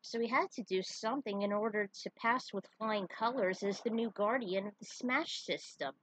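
Husky-voiced woman reading her fan fiction. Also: medium pitch, normal speed, a bit bright, dry recording, low-quality recording. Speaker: storyteller reading own fan fiction aloud. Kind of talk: fan fiction narration with casual asides.

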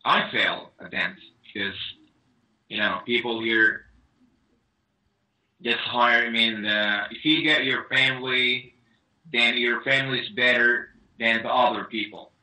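A man's voice: medium pitch, steady tone, unhurried, pauses often, pushed and bright, poor audio. A man speaking earnestly over a video call, often saying you know. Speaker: caller.

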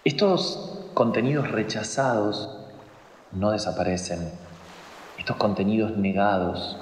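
A man narrating a guided meditation in phrase by phrase, solemn and deliberate, with long pauses. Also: on the low side. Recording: cavernous long reverb with some background noise.

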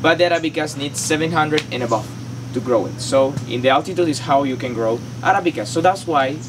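A man's voice: on the high side, brisk, far from the mic. A young man whose first language is Spanish, patiently explaining on a coffee farm tour in English. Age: young adult. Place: outdoors at a farm workshop. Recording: noisy outdoor recording.

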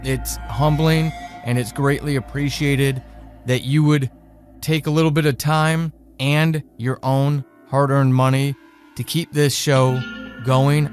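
A male podcast host reads credits and listener announcements in a mid-pitched voice. His phrasing is deliberate, and music plays loudly behind him. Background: loud music.

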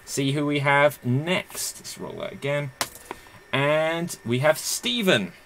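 A man's voice: fairly high pitch, takes it slowly, fairly bright tone, a little hiss or hum. A man hosting an online improv comedy game over a video call, wearing a headset and announcing the rounds in an upbeat way.

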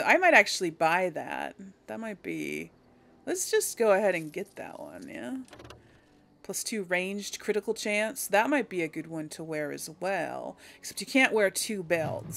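A woman's voice: lowish voice, creaky, fairly bright tone, a little hiss or hum. A woman giving casual video game commentary, thinking aloud as she plays. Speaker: video game let's player.

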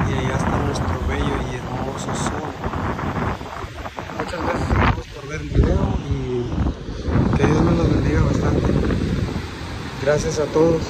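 Guatemalan man vlogging on a windy beach. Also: fairly high pitch. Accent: Guatemalan Spanish. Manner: earnest, rambling, says "amigos" constantly. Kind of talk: casual vlog walkthrough. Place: sandy beach outdoors on a windy day. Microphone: phone held while walking. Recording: loud wind noise on phone mic.

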